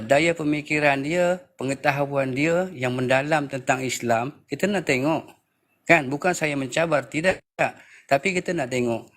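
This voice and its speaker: A man's voice: medium pitch, fairly bright tone. A man in his fifties with a Malaysian accent gives informal political commentary, speaking emphatically with repeated phrases and rhetorical questions. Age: 50s. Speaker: panel speaker in a live political discussion room.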